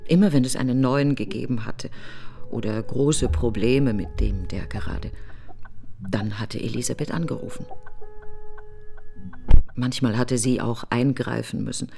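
An actress playing a grieving, bitter middle-aged woman in a radio monologue, breathy and often near a whisper, in fragmented, deliberate phrases. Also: extremely low pitch, dark tone, soft background music, heavily acted. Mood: brooding, recollecting. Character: grieving, bitter, reflective woman alone after a friend's funeral. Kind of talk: literary radio play monologue.

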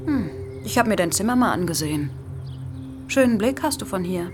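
A woman's voice: medium pitch, expressive, brisk, over music, lightly acted. An actress playing a hardened, defiant woman on the run in a German crime radio drama, speaking tersely and coolly. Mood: cool, confronting.

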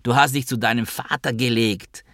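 A man's voice: lowish voice, moderate pace, no room sound, studio quality, natural voice. Man playing an accusing, sarcastic younger brother. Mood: bitter. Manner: confrontational, sarcastic.

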